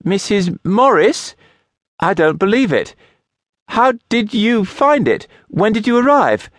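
Brief: man voicing a reserved, solitary gentleman, stiffly polite, raised voice, dry close read. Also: high-pitched, animated, unhurried, no echo, voice pushed up. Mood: dismayed.

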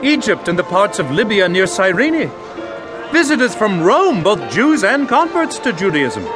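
A man's voice: high-pitched, very animated, normal speed. An actor playing an astonished male pilgrim, exclaiming emphatically in a dramatized audio Bible. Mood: amazed, bewildered. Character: astonished man among pilgrims, exclaiming in wonder. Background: music.

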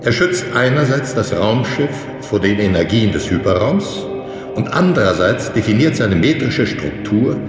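An actor playing a methodical professor, formally explaining in the didactic style of a sci-fi audio drama lecture. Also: male voice, fairly low pitch, sing-song, a little slow. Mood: patient, explanatory. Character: male professor lecturing trainee pilots, instructive and methodical. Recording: echoey lecture hall, music underneath.

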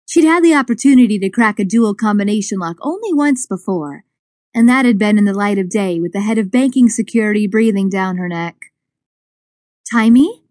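A woman reading scripted suspense fiction as an audiobook narrator, with expressive storytelling. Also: medium pitch, a little fast, no room sound, studio quality. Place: dry studio.

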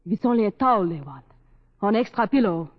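An actress playing a bitter, defiant young French chambermaid in a 1940s radio drama, cool and sharp-tongued. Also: female voice, mid-range voice, expressive, quick pace, lightly acted. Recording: muffled old radio recording, long reverb.

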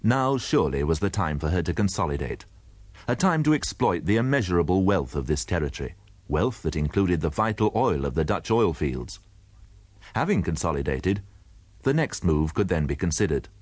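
Low-voiced male documentary narrator. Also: a little mellow, no echo. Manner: measured, formal.

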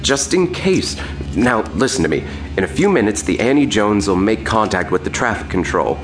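An actor playing a bossy, impatient, scheming hijacker in a science fiction radio play. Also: male voice, fairly low pitch, big pitch swings, moderate pace. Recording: roomy echo, music behind.

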